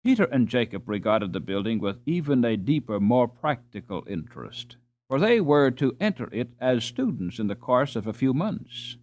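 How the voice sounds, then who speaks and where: A man's voice: medium pitch, very animated, normal speed, a little mellow. A man narrating a storybook as a scripted audiobook reading.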